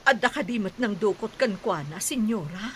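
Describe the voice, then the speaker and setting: A woman's voice: mid-range voice, animated, moderate pace, breathy edge. A woman playing a pleading captive mother in an Ilocano radio drama, with an Ilocano accent. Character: pleading captive mother begging a mistress for release.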